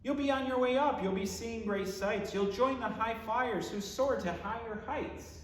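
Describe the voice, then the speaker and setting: A man, a pastor, reading a children's book aloud in rhyming verse with expressive, theatrical, deliberate phrasing. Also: very high voice, slight background noise. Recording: echoey room with long reverb.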